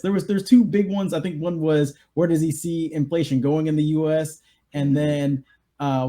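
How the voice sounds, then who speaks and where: Dude, soft mellow tone, slightly high voice, casual reaction chat. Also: male voice. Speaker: video show host.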